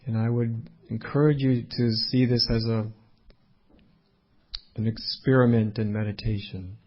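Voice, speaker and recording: A man leading a guided meditation as a teacher, speaking unhurriedly in short phrases with long pauses. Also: mid-range voice, steady tone, highs cut off.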